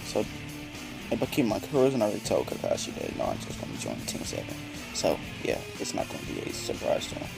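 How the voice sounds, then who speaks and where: Raspy laid-back man rambling a story. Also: on the low side, wildly swooping pitch, quick pace, low-quality recording. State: just woke up, dry voice. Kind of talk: casual fan-fiction storytelling. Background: background music.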